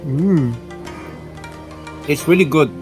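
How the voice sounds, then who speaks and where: Man, Brazilian Portuguese accent, high voice, chatty travel vlogger, outdoor city recording, music playing behind. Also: slow. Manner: chatty, addresses viewers directly. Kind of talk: casual travel vlog.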